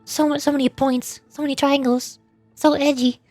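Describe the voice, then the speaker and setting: High-voiced young woman streaming a game. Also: level tone, normal speed, darkish tone, no echo, soft background music. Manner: playful, rambling, teasing. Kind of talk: casual livestream game commentary.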